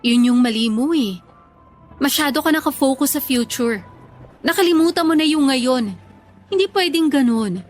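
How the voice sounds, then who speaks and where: A woman's voice: high voice, moderate pace, pauses often, faint music behind, close to an everyday voice. A woman playing a weary, blunt ex-girlfriend in a radio drama, firm and exasperated. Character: weary, blunt ex-girlfriend firmly ending a long relationship. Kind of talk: emotional radio drama dialogue.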